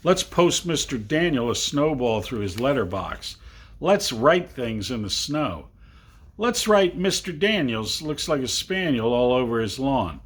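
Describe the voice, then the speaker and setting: Eager, boastful schoolboy acted by a man in a storybook, husky, leaping about in pitch. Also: big pitch swings, unhurried. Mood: playful scheming. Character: playful imaginative young boys out in the snow.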